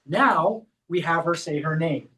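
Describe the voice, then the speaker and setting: High-voiced man leading a clinic workshop. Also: a little slow, bright, forceful tone, far from the mic. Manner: explains step by step while guiding a volunteer. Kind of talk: hands-on demonstration talk.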